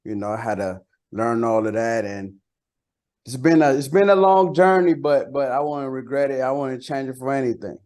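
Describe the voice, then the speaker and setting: Male interview guest from Costa Rica, Spanish first language, mid-pitched voice, informal slangy chat. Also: steady tone, moderate pace, a little mellow. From San Jose, Costa Rica. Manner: colloquial, peppered with "like" and "you know". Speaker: interview guest, bilingual rapper and songwriter.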